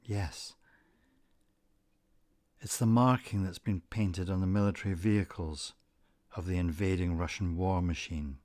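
A man with a low-pitched voice leads a guided meditation at a very slow pace. His delivery is calm, deliberate and scripted, with gentle instructions. The sound is close and dry. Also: no echo.

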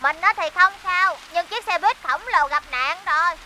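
A woman's voice: extremely high pitch, normal speed, sharp tone, slight background noise, full character voice, low-quality recording. A woman voicing a small young talking vehicle in a children's cartoon, timid but helpful. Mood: urgent. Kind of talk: animated children's cartoon dub.

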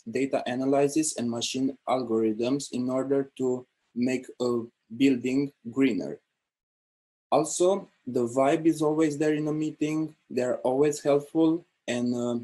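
A young man speaking as an intern on a panel over a video call, earnest, with pauses and restarts. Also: mid-range voice, warm tone, husky. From Romania. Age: young adult. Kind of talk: panel talk.

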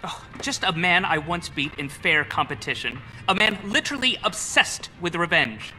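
An actor voicing an excitable, pompous and fussy nerdy toy-shop owner in a video game, petty and grandiose. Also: male voice, high-pitched, normal speed, soft background music, heavily acted, poor audio. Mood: defensive, smug.

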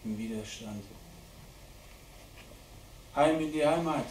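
A man giving a live dramatic poetry reading at a literary event, declaiming deliberately with strong shifts between hushed and loud delivery. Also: medium pitch. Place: indoor literary event venue at a theatre. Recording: roomy live hall recording, distant mic, faint noise.

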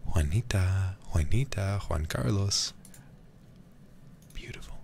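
Very deep, gravelly man, softly spoken. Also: animated, slow, background noise. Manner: soothing, affectionate, drops into whispers. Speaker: livestreamer. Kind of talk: ASMR gaming stream, soft casual chat. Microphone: close streaming microphone.

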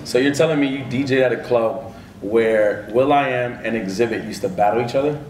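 A man interviewing a guest on a conference stage, eager and quick with affirming interjections. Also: mid-range voice, darkish tone, far from the mic, a little hiss or hum. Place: large conference hall stage. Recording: echoey hall with audience.

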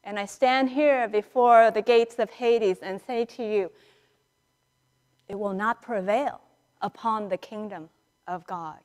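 A middle-aged woman priest giving a conversational storytelling sermon in a large church, acting out dialogue with dramatic pauses. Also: mid-range voice, a little mellow. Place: large church sanctuary, indoors, standing and moving before the altar. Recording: reverberant church hall, picked up in a large space.

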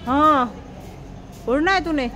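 High-voiced woman vlogging on a phone. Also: slow pace, sharp tone. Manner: coaxing, playful baby talk to a bird. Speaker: travel vlogger. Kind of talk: casual vlog narration and talking to a pigeon. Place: outdoors at night beside a parked tractor on a bus trip. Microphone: phone camera held by hand. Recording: noisy phone recording outdoors.

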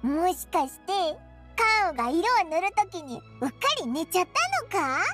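A woman voicing a small, cheerful, cheeky fairy companion in a Japanese video game, with a squeaky, childlike, boyish way of talking. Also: animated, normal speed, a bit bright, faint music behind. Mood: curious. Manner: boyish, informal, exclamatory.

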